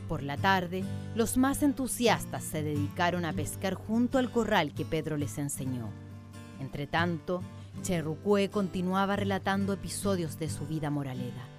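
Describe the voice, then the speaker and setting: A woman narrating a scripted radio drama in a measured storytelling manner. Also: deep voice.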